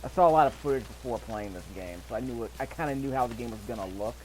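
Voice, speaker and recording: A man's voice: medium pitch, animated, racing, darkish tone, slight background noise, low-quality recording. A man giving casual commentary over video gameplay, with an offhand, dryly skeptical way of talking.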